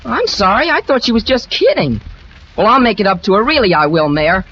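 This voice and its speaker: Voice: mid-range voice, very animated, brisk, a bit bright. A performer playing a blunt, earnest teenage schoolboy in 1940s radio drama style. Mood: apologetic, eager. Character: blunt, teasing teenage schoolboy, quick to apologize. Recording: muffled old radio recording, faint hiss.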